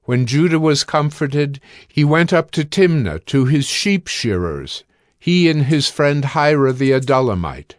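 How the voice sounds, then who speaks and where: A man narrating scripture from a script in a measured, solemn manner. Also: a little high, lively intonation, no echo, clean studio sound.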